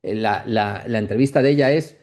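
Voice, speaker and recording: A man giving an academic lecture off the cuff, reflective and halting, often checking in with short question tags. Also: lowish voice, darkish tone. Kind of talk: masterclass lecture, speaking off the cuff.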